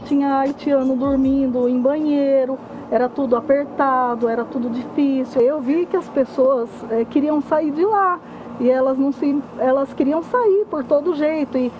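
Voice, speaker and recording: A woman from São Paulo state speaks earnestly in an interview, pausing and restarting as she recounts. Her voice is high. The recording sounds slightly dull, with faint music underneath. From São Paulo state, Brazil. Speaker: businesswoman interviewee. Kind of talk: interview testimony.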